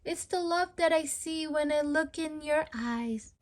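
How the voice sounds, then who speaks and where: High-voiced, unhurried woman reading to kids. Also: very noisy. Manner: sing-song, animated talk addressed to young children. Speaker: storytime read-aloud host. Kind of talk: children's picture-book read-aloud. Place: at home.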